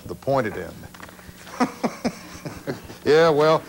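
A man's voice: medium pitch, sing-song, drawn-out words, gravelly. An older man hosting a call-in TV show, chatting casually in a folksy, dryly joking way. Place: small TV studio. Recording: noisy old TV broadcast tape.

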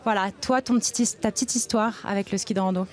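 A woman's voice: mid-range voice, steady tone, fast. A young woman presenting and putting questions to guests at an outdoor event, using a handheld microphone. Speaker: interviewer, presenter. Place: outdoors at a snowy ski resort event. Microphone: handheld reporter microphone.

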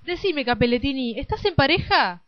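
Woman playing a high-voiced, nosy talk show hostess. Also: big pitch swings, moderate pace. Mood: prying. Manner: presenterly, prying. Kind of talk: parody radio play. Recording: dull lo-fi audio.

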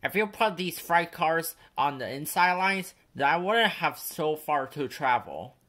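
A man's voice: fairly high pitch, expressive, a little slow, pushed and bright, pitched above the performer's own voice, exaggerated voice. A man voicing a bossy, grumpy crane in a children's storybook, scornful and emphatic. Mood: scornful, grumbling. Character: bossy, grumpy, complaining male dockside crane.

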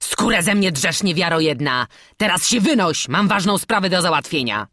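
A woman's voice: fairly low pitch, expressive, measured pace, fairly bright tone, no room sound, studio quality, voice pushed up. A woman voicing an aging male bandit in an audiobook, bullying and menacing, with barked threats and mocking coaxing. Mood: gruff. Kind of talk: audiobook storytelling.

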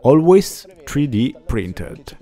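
Slow, measured man narrating a workshop build. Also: mid-range voice, sing-song, dark tone. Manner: slow, plain instructional delivery with non-native phrasing. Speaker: woodworking channel host. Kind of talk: scripted voice-over narration of a build. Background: faint background music.

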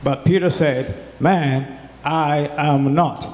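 A man with a fairly high voice reads scripture solemnly and very deliberately. He takes his time over each phrase in an echoey church with clear background noise. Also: fairly even intonation. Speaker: reader in a church Passion reading. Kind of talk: solemn dramatic scripture reading. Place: church, before a congregation.

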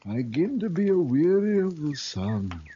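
An actor playing a weary, delirious Shakespearean stage player, declaiming grandly in a 1950s radio western. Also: male voice, a little high, sing-song, dark tone. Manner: theatrical, slow declaiming. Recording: muffled old radio with faint noise.

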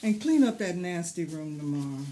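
A man's voice: fairly high pitch, big pitch swings, normal speed, warm tone, a little hiss or hum. A man playing a sassy middle-aged mother who scolds in a sing-song way. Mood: bossy.

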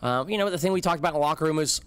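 A middle-aged man, a football head coach, speaking in a measured, reflective way at a postgame press conference podium. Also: fairly high pitch, clear, resonant tone. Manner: measured, reflective, frequent fillers. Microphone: podium microphone.

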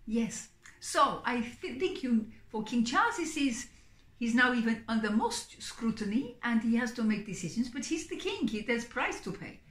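Woman, middle-aged, high voice, very animated, theatrical vlog host. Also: normal speed. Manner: expressive, theatrical, gesturing. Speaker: singing psychic performer and host. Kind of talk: theatrical chatty vlog to camera. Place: small indoor room, seated on a sofa. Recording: echoey room.

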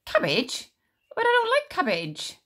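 A woman's voice: sits high, wildly swooping pitch, normal speed, pitched above the performer's own voice, full character voice. A woman voicing an eight-year-old boy who pleads and whines at his grandmother. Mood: dismayed. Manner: whining, pleading.

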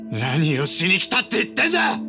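An actor playing a bitter, distrustful young fugitive in a game cutscene, curt and hostile. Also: male voice, sits high, wildly swooping pitch, brisk, music in the background. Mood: hostile, demanding. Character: bitter, distrustful cornered young man on the run. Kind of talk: dramatic game cutscene acting.

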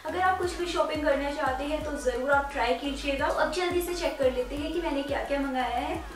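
A woman's voice: high voice, a little fast. A young woman in her twenties from India, chatting casually and persuasively to viewers while showing off her shopping finds. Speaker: fashion vlogger. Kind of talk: casual shopping haul talk. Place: indoor studio with purple backdrop. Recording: very echoey room, mic at a distance, music underneath.

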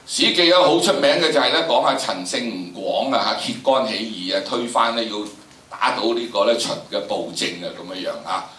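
A man's voice: mid-range voice, lively intonation, brisk, fairly bright tone, slight background noise. An older man with a Hong Kong Cantonese accent lecturing students emphatically, drawing out phrases and posing rhetorical questions. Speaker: teacher of history and drama. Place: large indoor lecture theatre. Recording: echoey lecture hall, from a distance.

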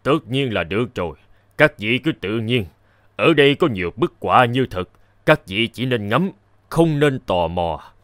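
A man playing a mysterious, solemn old monk in a folk-tale cartoon, speaking in a drawn-out manner. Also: medium pitch, animated, fairly bright tone, dry recording, clean studio sound, close to an everyday voice. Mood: solemn welcome. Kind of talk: animated folk-tale dubbing.

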